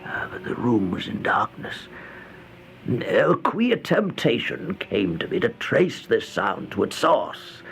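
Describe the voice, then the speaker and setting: A feeble, once-proud male drifter tells his tale of dread in an old radio horror play. He speaks slowly in a creaky voice, stammering and dropping into breathy whispers. There is light noise on the aged recording. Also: mid-range voice, sing-song, a bit bright, full character voice. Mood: hushed dread. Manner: halting, stammering, breathy dramatic delivery with gasps and whispers. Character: starving, feeble, once-proud male vagrant turned housebreaker, haunted and fearful.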